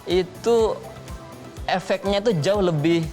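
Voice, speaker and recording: A young man speaking as a guest on a studio panel, laying out his points one by one in a measured way. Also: high-pitched, warm tone, faint music behind. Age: young adult. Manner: measured, lays out points in order. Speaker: talk show guest.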